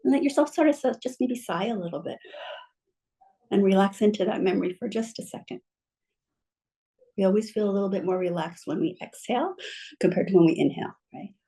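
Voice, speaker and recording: Gentle woman guiding a calm reflection. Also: mid-range voice, husky. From mother from Mexico, bilingual. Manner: gentle, slow, soothing guidance. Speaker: guest speaker. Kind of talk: guided reflection and talk.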